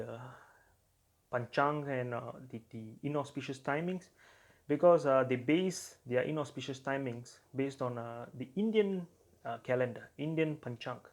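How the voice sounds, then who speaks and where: Give me a man of middle pitch presenting a hesitant explainer. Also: a little mellow. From Singapore. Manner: hesitant, frequent filler sounds and restarts. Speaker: astrology presenter. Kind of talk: explanatory talk to camera.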